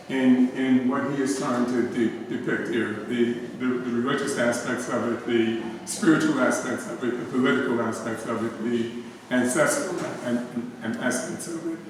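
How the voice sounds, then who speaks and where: A man's voice: mid-range voice, moderate pace, slight background noise. A man in the audience at a gallery talk, asking a long question with many restarts and repeated words. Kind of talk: hesitant question from the floor. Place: art gallery. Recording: echoey gallery room, far from mic.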